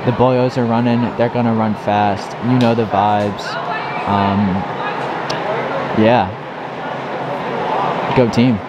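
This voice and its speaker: A teenage man narrating a track race for a vlog in a low, mock-soothing voice, filmed on a handheld camera in a big indoor arena. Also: little pitch movement, slow, pauses often. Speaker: teammate filming a vlog. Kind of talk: casual play-by-play narration. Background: crowd and meet noise.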